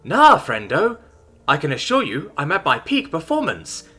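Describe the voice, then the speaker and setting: Man doing a stoner-bro robot nurse, high and husky. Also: wildly swooping pitch, normal speed, bright, forceful tone, faint music behind, full character voice. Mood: chill bro. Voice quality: laid-back surfer slang. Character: cheerful bro-talking robot male nurse android.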